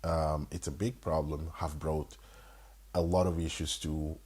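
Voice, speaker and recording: A man's voice: extremely low pitch, level tone, measured pace, darkish tone, husky. A man in his thirties from Italy with an Italian accent, co-hosting a podcast and talking in a frank, reflective way with lots of fillers. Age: 30s. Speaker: podcast co-host. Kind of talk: casual conversation.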